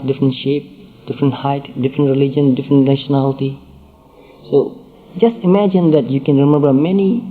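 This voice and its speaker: A man teaching Buddhist dharma at a mid pitch, unhurried and measured. He builds his points through repeated phrases and pauses. The sound is thin and phone-like. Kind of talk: dharma talk.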